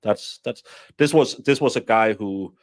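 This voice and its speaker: A man's voice: fairly low pitch, a little slow, no room sound, clean studio sound. A man from Denmark, a guest commentator chatting bluntly with many restarts. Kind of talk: casual commentary chat.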